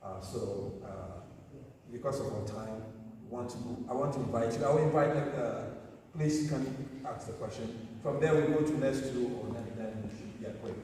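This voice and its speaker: Male moderator, halting, lots of uhs, mid-pitched voice, distant mic, clear room noise. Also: dark tone, very echoey, in a large hall. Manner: hesitant, many fillers, hurried by time. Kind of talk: moderating a community meeting.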